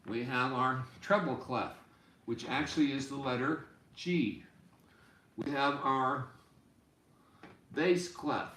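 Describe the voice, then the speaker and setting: A man in his sixties giving an informal music lesson, explaining deliberately and step by step in a small room. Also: mid-range voice, a bit bright. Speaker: music teacher. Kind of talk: informal instructional explanation at a whiteboard. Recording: roomy, echoey home room sound.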